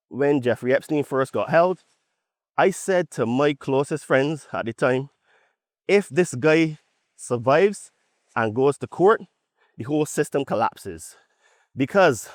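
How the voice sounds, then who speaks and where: A man's voice: on the high side, no echo, studio quality. A man from Barbados with a Bajan accent gives an opinionated podcast monologue, conversational and emphatic, often checking his points with a tag question. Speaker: podcast host.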